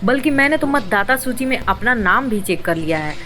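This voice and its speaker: A woman's voice: on the high side, expressive, a little fast, sharp tone, soft background music. A woman playing a sensible, well-informed young working woman with worries in a scripted radio drama, explaining things earnestly and bossing her friends. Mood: exasperated. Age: young adult.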